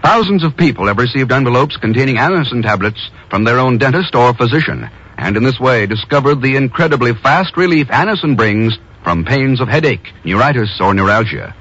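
A man's voice: medium pitch, lively intonation, fairly quick, a bit bright, breathy edge. A man with an American accent announcing on a 1950s radio broadcast in a formal, polished, emphatic style. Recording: dull vintage 1950s radio broadcast, music behind.

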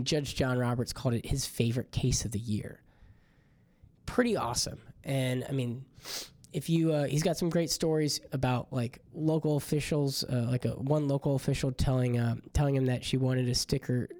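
A hoarse young man hosting a podcast in a casual, rambling monologue that turns exasperated. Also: mid-range voice, warm tone, dry recording. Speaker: podcast host.

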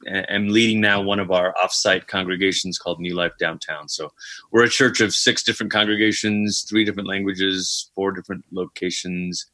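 A man's voice: low-pitched, steady tone, a little fast, sharp tone, no room sound. A man from Malaysia, a pastor talking casually as a guest in a podcast interview.

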